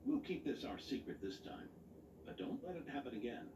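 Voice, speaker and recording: Elderly game knight, grandfatherly, protective, very varied pitch, noisy distant recording. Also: sing-song, fairly quick, echoey room. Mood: gently scolding. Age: older. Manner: reassuring, gently admonishing. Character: kindly old grandfatherly knight, protective and gentle. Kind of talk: dramatic video game acting.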